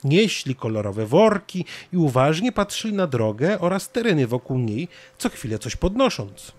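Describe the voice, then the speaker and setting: A man's voice: on the high side, very animated, moderate pace. A man narrating a scripted children's story.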